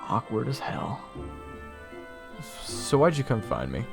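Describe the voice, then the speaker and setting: A man's voice: mid-range voice, very animated, takes it slowly, gravelly, music in the background, full character voice. A man playing a weary, sarcastic young soldier who tells his story in a casual, exasperated way in an audio drama. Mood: downcast. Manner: wry, exasperated, casual first-person storytelling. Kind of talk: audio roleplay narration and dialogue.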